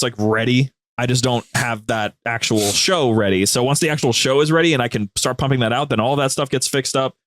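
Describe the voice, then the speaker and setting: A man's voice: medium pitch, no room sound. Casual young man telling stories, close dry sound. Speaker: podcast guest, audio drama creator. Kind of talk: casual interview chat.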